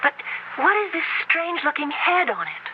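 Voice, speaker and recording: A woman's voice: high voice, big pitch swings, normal speed. An actress in a 1970s radio horror drama playing a woman at a university. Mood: eager, polite. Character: women of a radio horror play: students and conspirator. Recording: muffled 1970s radio broadcast, faint noise.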